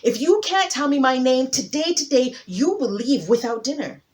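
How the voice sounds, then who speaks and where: Lady storyteller doing a pompous hippo king from a folktale, loud and proud, big proclamations, lively pitch. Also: moderate pace. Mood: imperious. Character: proud, booming hippopotamus king, large adult male.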